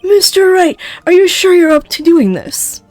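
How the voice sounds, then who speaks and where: A woman's voice: extremely high pitch, big pitch swings, moderate pace, a little mellow, no room sound, soft background music, pitched above the performer's own voice. A woman doing a stiff formal old judge. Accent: Icelandic.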